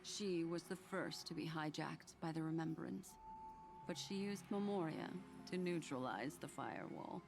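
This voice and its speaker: A poised, enigmatic scholar woman in a video game. Her voice sits fairly low, with measured, formal, deliberate phrasing over soft background music. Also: creaky. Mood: grave, explaining. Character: composed, scholarly, enigmatic female memory keeper. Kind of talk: scripted video game dialogue.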